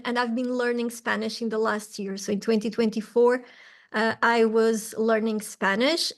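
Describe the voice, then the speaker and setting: A woman's voice: fairly high pitch, a bit bright. A Portuguese woman in her forties, speaking English with a Portuguese accent, teaching an online lesson in a chatty, bubbly way with frequent laughter. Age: 40s. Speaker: language teacher.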